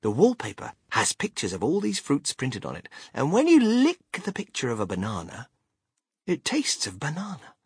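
A man voicing an excitable, eccentric, impatient chocolate-factory owner in a theatrical storybook reading. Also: mid-range voice, slightly rough, dry recording, clean studio sound, voice pushed up, heavily acted. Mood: exuberant, impatient. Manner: theatrical, exclamatory, brisk and dismissive.

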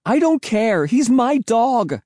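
Man as a young boy, shrill, high-pitched, frantic, stubborn, storybook character. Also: very animated, normal speed, dark tone, dry recording, clean studio sound, exaggerated voice. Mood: upset, defiant.

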